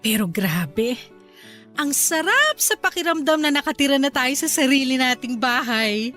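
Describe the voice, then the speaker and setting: A sweet, high-voiced young wife. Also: female voice, expressive, a little slow, sharp tone, faint music behind, exaggerated voice. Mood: tender. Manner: sweet, affectionate and homely. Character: caring young wife and new mother. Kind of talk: radio drama acting.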